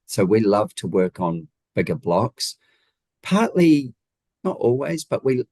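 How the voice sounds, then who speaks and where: A man's voice: lowish voice, sing-song, slow, a little mellow, no echo. A man with a New Zealand accent giving conversational, emphatic interview answers, often making his points with rhetorical questions. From Wellington region, New Zealand. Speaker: guest, home designer.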